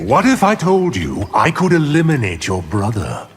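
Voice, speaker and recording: An actor voicing a smug, scheming sorcerer villain in a video game, with a measured, theatrical, sneering delivery. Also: male voice, medium pitch, huge pitch swings, a little hiss or hum. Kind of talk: video game character dialogue.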